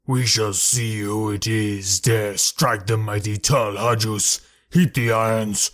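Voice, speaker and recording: A man's voice: fairly low pitch, fairly even intonation, slow pace, fairly bright tone. A man voicing an enraged, tyrannical male alien warlord who shrieks and roars his commands. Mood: raging.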